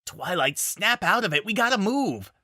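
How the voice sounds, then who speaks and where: A man doing a cocky, tough young woman in a storybook reading who snaps out her words bluntly. The voice is raised and bright, with lively swings. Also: high voice, moderate pace, no echo, clean studio sound, voice pushed up, full character voice. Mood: urgent. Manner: blunt and urgent.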